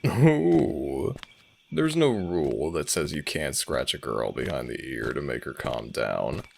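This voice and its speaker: A man's voice: a bass voice, big pitch swings, takes it slowly, creaky, a little mellow, a little hiss or hum, full character voice. A man playing a smug, teasing alpha werewolf pack leader and older brother in an audio roleplay drama. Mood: smug, playful.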